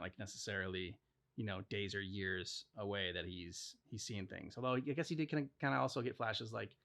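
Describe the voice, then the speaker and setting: A man chatting casually as co-host of a reaction channel, thinking out loud in a rambling way peppered with "like". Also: lowish voice, creaky. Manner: rambling, speculative, heavy use of "like". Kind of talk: casual chat, reacting to game cutscenes.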